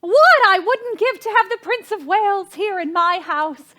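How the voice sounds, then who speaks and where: Brief: imperious society matron for a period stage comedy, extremely high voice, swooping intonation, fretful and gushing. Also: female voice, lively intonation, moderate pace, sharp tone, heavily acted. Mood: exasperated, ambitious. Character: flustered, imperious society hostess, a socially ambitious mother. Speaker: stage actress. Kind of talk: theatrical period comedy acting.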